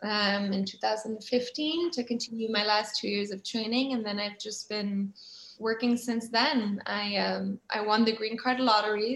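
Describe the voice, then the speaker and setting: An enthusiastic woman from Switzerland with a fairly high voice, chatting casually as a guest in an interview. Her manner is giggly and eager, and the sound has a clear room echo. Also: a bit bright. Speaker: guest, actress and producer. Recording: roomy, echoing sound.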